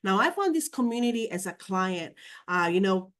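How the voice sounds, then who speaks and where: A woman's voice: mid-range voice, big pitch swings, normal speed. A woman from Fiji, whose first language is Fijian, speaking as co-host of a business presentation over a video call. She talks in a chatty way with many fillers.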